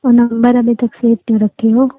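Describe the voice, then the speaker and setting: A woman's voice: a little high, monotone, moderate pace, dry recording. A woman chatting casually and privately as a caller on a phone call. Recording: dry, narrow tinny phone line.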